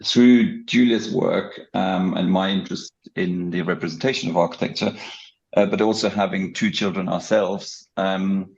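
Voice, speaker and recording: A very low-voiced middle-aged man with a German accent and a gravelly creak. He talks as an academic guest in an interview, explaining in a halting, hesitant way. The audio is a little dull and muffled. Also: fairly even intonation. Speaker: guest, academic researcher and book editor. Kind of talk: conversational podcast interview account.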